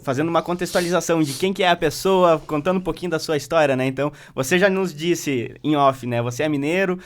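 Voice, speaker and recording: A man hosting a regional podcast, welcoming listeners and guests in a measured way. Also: fairly high pitch. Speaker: podcast host. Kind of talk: podcast host intro and interview.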